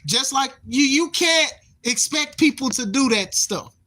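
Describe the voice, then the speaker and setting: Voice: sits high, lively intonation, measured pace, pushed and bright. A podcast host in their thirties giving casual opinion commentary, rambling and repeating words, with slangy phrasing. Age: 30s.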